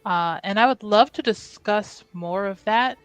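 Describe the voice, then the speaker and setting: Woman roleplaying at the table, talks real slow, pauses a lot. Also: mid-range voice, clear, resonant tone, soft background music. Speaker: tabletop game player. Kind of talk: in-character roleplay.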